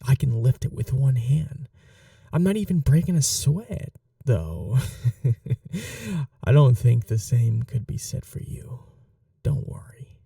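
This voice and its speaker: Dark, creaky, softly menacing vampire, acted out. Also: mid-range voice, big pitch swings, takes it slowly, pauses often, no room sound, clean studio sound, recorded from a distance, full character voice. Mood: smug. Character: obsessive vampire lab technician, polite, softly menacing. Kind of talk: ASMR roleplay monologue.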